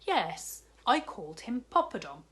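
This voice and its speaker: A woman voicing a big, jolly, kindly male shopkeeper in a storybook reading, warm and fatherly. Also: mid-range voice, sing-song, a little slow, voice pushed up.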